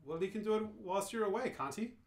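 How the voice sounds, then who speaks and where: Echoey, insistent deadpan friend, played by a performer. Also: mid-range voice, fast talker, close to an everyday voice. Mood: urgent, insistent. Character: urgent, cryptic male alien friend, deadpan. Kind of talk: amateur radio play reading. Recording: roomy, echoing live reading.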